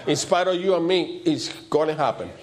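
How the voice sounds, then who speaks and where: A man with a loud voice and preaches in an informal, conversational way, repeating short phrases for emphasis. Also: fairly high pitch, a little fast, crowd noise. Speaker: preacher leading a church service.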